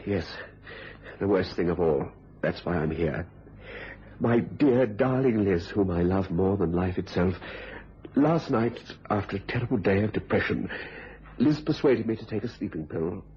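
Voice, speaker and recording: An actor playing a frightened, anguished husband who fears for his sanity in a radio thriller, with a halting, overwrought delivery. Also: sits low, lively intonation, breathy edge, heavily acted. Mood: troubled, confessing. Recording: dull lo-fi radio recording.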